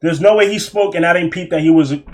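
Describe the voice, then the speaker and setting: Animated young male streamer, high voice. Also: quick pace. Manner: animated, laughing, profane slang. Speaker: streamer reacting to a video. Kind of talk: loud reaction commentary.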